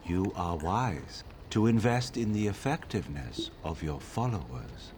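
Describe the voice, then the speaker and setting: An actor playing a formal military quartermaster in a fantasy game. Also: male voice, low-pitched, sing-song, a little slow, darkish tone, slight background noise. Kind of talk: scripted game dialogue.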